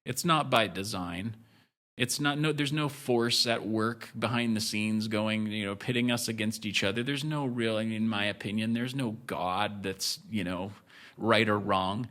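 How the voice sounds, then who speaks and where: A man's voice: lowish voice, normal speed, a bit bright. A man as a guest on a candid podcast, talking bluntly and with some swearing, breaking off and restarting his sentences. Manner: blunt and profane, speaks in bursts with restarts.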